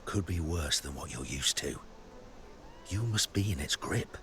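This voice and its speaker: A man playing a weathered, skeptical camp veteran in a video game, with a gravelly voice and folksy, clipped phrasing. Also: a bass voice, lively intonation, measured pace, a bit bright, a little hiss or hum, heavily acted. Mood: skeptical, advising. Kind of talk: scripted video game dialogue.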